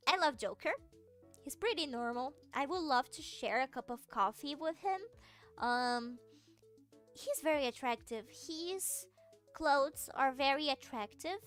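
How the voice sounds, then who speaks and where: A young Brazilian woman with a Brazilian Portuguese accent chats with her stream in a high, light voice. She talks slowly, trails off into fillers and restarts, and breaks into giggles. Soft music plays faintly behind her. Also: clean tone. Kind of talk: casual chat with viewers.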